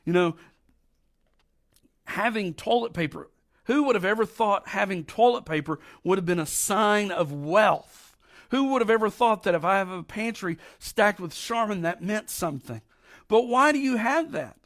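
A middle-aged man with a raspy voice from allergies, preaching a folksy, emphatic sermon with slow drawn-out stresses. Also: high voice, lively intonation, fairly bright tone. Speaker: pastor.

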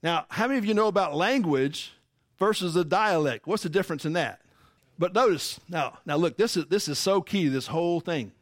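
A man's voice: high-pitched, expressive, a little fast, no echo, studio quality. A man preaching a sermon, teaching emphatically and asking the congregation rhetorical questions. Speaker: pastor.

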